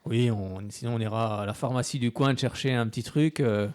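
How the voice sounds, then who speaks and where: A man's voice: medium pitch, fairly even intonation, brisk, a little mellow, clean tone, no room sound, studio quality. A man hosting a live sports show on local radio, chatty and full of hesitant fillers.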